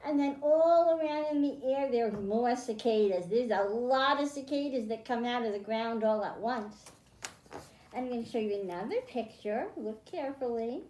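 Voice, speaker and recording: Female storytime host, higher-pitched, teaching small children in simple terms; echoing room. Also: normal speed, warm tone, slight background noise. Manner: simple explanations addressed to children, with questions to them. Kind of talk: children's storytime read-aloud and science lesson. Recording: roomy, echoing indoor sound.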